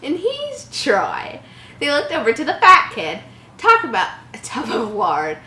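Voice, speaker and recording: A woman in her early twenties reading a story comedically, animated, with casual mocking commentary. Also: high voice, unhurried. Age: early 20s. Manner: giggly, mocking asides, animated. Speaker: channel host. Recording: roomy echo, faint background noise.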